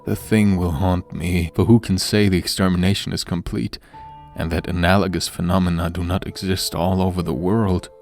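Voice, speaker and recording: A man's voice: low-pitched, steady tone, moderate pace, a little mellow. A man reading a horror story as a dramatic narrator, grave and theatrical, in the manner of an audiobook. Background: background music.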